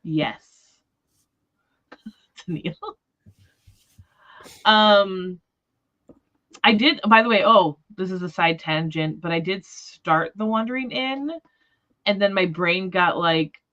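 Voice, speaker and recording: A woman with a fairly low voice hosts a casual livestream chat about books. She talks informally and tentatively, rambling a little as she goes. The audio is somewhat dull and lo-fi. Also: slow pace, pauses often, fairly bright tone. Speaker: host of a book discussion livestream. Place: remote livestream call.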